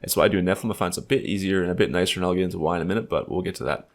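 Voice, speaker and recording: Low gravelly guy, stuffed up and a bit nasal, walking thru code, lots of uhs. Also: rapid-fire. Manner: relaxed, thinking aloud with frequent fillers. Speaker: game development tutor. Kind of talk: screen-recorded coding tutorial.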